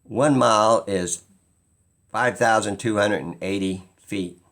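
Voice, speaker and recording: Slow-talking man, fairly low voice. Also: fairly bright tone. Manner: halting, pausing mid-sentence, musing. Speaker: video host. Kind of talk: informal skeptical explainer monologue.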